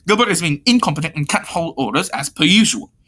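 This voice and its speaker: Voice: medium pitch, animated, fast, fairly bright tone. A story reader voicing a bossy, irritable schoolboy who wants everything done exactly right. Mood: impatient.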